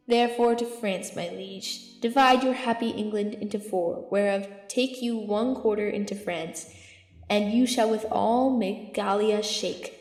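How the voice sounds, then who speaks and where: A woman's voice: medium pitch, a little mellow. A young woman playing an earnest, hawkish archbishop in a Shakespearean audio drama, declaiming formal verse. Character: earnest, hawkish archbishop counselling a king to war. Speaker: amateur student actor. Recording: echoey hall reverb under music.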